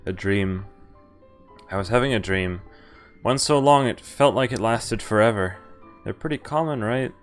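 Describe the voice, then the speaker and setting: A man with a fairly low voice reads a game's story aloud as he streams. His delivery is informal and a little halting, like a live playthrough. Soft game music plays faintly behind him.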